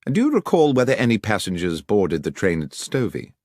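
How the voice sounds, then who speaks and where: Audiobook gentleman sleuth, voiced by a man: precise, probing, commanding, very animated intonation. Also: lowish voice, dry recording, clean studio sound. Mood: brisk questioning. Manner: brisk, precise, questioning. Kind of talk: audiobook character voice.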